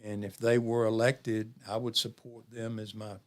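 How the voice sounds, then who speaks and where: Low-voiced man in his seventies, measured. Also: little pitch movement, darkish tone. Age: 70s. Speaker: interview guest, community program leader. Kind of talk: reflective interview conversation.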